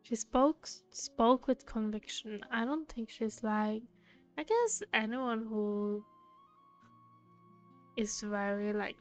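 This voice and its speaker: Casting: woman game streamer, fairly high smooth voice, casual commentary with faint game music behind.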